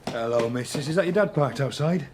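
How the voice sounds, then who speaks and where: A man playing an awkward, gentle would-be stepfather in a radio drama, with a hesitant, casual, kindly manner. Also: medium pitch, expressive, brisk, noisy background, close to an everyday voice. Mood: breezy. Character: awkward, gentle man courting a child's trust.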